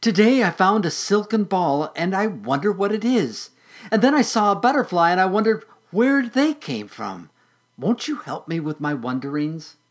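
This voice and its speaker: A reader doing an eager little caterpillar girl. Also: normal speed. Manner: excited, high-pitched, breathless questions. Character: curious young girl caterpillar, eager and wondering.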